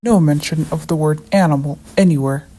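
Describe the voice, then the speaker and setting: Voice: medium pitch, fairly quick, mellow. A narrator, a native Somali speaker, reads a scripted debunking in an indignant tone. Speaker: video essay narrator.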